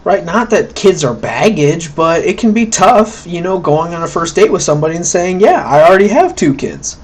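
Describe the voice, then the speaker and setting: A man's voice: high-pitched, sing-song, normal speed, husky. A man giving a casual, conversational recorded lecture, often checking in with "right?" and acting out quoted thoughts. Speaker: college instructor.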